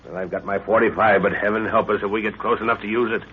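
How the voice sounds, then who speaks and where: An actor in an old radio drama playing a grave, middle-aged professor on safari, musing and authoritative. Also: male voice, fairly low pitch, steady tone, fast talker, husky. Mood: worried, steady. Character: learned professor on safari, grave, measured father. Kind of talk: 1930s radio drama acting. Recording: hissy, tinny 1930s radio recording.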